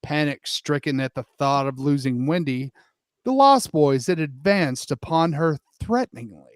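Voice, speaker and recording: A man's voice: a little high, lively intonation, normal speed, clean tone, dry recording, studio quality. A man narrating a comic dramatic storybook reading in a theatrical, irreverent, sweary manner with asides. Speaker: host and narrator.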